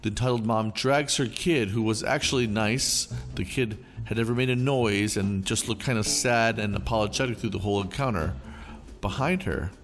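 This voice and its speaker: A man hosting a storytelling channel, giving an expressive scripted reading of online stories. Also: lowish voice, normal speed. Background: faint background music.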